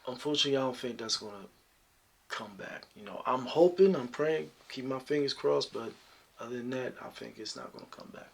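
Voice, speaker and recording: Brief: deep-voiced male singer being interviewed, husky tone, easygoing, filler-heavy. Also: brisk. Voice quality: deep talking voice. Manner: relaxed, reflective, many "you know" fillers. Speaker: singer guest. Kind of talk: interview answers.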